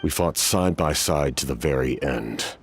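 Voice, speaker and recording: An actor playing a solemn, honourable, centuries-old warrior captain in a video game, speaking in a formal, grave, measured way. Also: male voice, very deep voice, slightly rough, exaggerated voice. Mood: solemn, reflective. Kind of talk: scripted video game voice acting.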